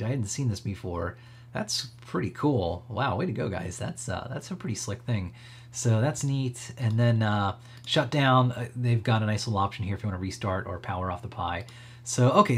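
A man giving a casual, upbeat software walkthrough as a tech stream host, talking in an easygoing, approving way. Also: mid-range voice, slightly rough.

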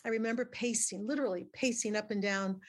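An older woman from Mexico, a native Spanish speaker, telling her life story as a podcast guest in a lively, laughing way with many restarts. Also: medium pitch, quick pace. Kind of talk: casual storytelling interview.

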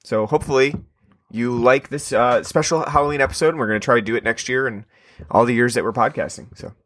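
A man hosts a podcast in loose, casual chat, circling back on himself with plenty of ums and uhs. His voice has a bright, forward edge. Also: mid-range voice, fast. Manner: rambling, filler-heavy. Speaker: podcast host.

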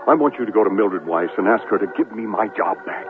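An actor playing a boastful, manipulative TV reporter in a radio drama, cajoling and self-important. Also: male voice, on the low side, animated, fast talker, close to an everyday voice. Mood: blunt, demanding. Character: boastful, manipulative male TV reporter pleading with his ex. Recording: tinny narrowband radio broadcast, music behind.

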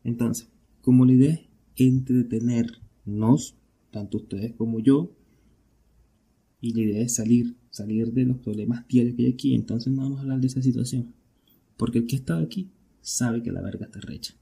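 A man's voice: mid-range voice, steady tone, normal speed, creaky, dark tone, husky. A Venezuelan man hosting a casual solo podcast, talking conversationally and often posing questions that he then answers himself. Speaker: podcast host.

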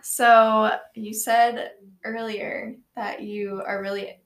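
A woman hosting an interview, asking her guest questions in a slow, friendly way. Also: on the high side, flat delivery. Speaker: podcast host. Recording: echoey room, mic far off, faint noise.

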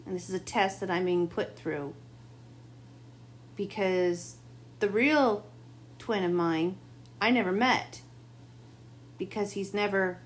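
Woman, tarot reader, slightly raspy, rambling late-night monologue, faint hum behind. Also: mid-range voice. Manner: rambling, confiding.

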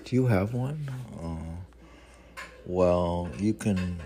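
A man reading his own poetry, with rambling casual asides in an unhurried, halting, wry way. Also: deep voice, mellow, slight background noise. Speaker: poet reading own work.

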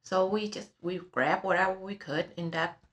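A middle-aged woman with a Vietnamese accent telling her life story in an interview, halting with many fillers and restarts, recorded in an office with background noise. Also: on the low side, fairly even intonation, a bit bright. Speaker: interviewee, realtor. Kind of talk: personal life story in conversation.

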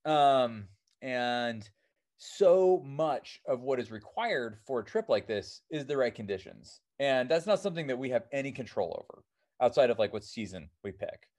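A man's voice: medium pitch, lively intonation, moderate pace, darkish tone. A man in his thirties with an American accent, born in New Zealand and raised in Seattle, answering interview questions casually with many fillers. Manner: rambling, many fillers like "um" and "you know". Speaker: alpine climber and filmmaker, guest.

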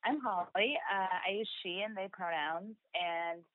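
A woman's voice: medium pitch, a little slow, no echo. A woman from Ohio, a podcast guest, talking casually and hesitantly with frequent fillers. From United States (Ohio). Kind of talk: casual conversation. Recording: thin phone-like line, dry.

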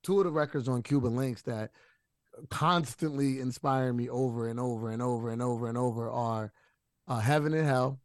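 A man with a husky, mid-pitched voice talks in a loose, profane way, full of restarts and fillers. He speaks as a guest in a casual interview, and the sound is close and dry. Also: moderate pace, no room sound. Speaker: rapper guest.

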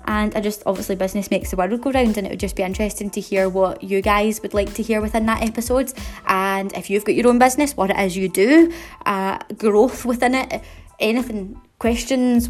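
A young woman with a Glasgow accent hosts a solo podcast episode, talking in a bubbly, upbeat and chatty way. Her voice sits in a middle pitch for a woman, and faint music plays underneath. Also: steady tone, fairly quick, a little mellow. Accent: Glaswegian. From Scotland. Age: 20s. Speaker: podcast host.

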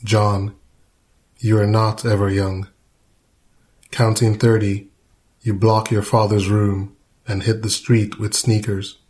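A man's voice: lowish voice, little pitch movement, slow pace, long pauses, a little mellow. A man reading a narrative poem aloud. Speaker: poetry reader. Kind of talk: poetry reading.

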